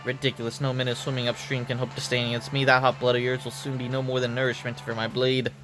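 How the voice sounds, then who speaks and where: A man's voice: mid-range voice, completely flat delivery, quick pace, pitched below the performer's own voice, lightly acted. A man voicing a merciless demon swordswoman in game dialogue, with a cold, solemn and formal delivery. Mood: scornful. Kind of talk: game dialogue read aloud. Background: background music.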